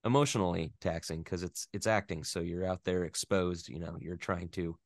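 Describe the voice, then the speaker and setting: A young American man from the West Coast talking about his work in a casual interview, rambling and animated, often laughing at himself. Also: low-pitched, moderate pace, no echo. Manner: rambling, animated, self-deprecating. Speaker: voice actor guest.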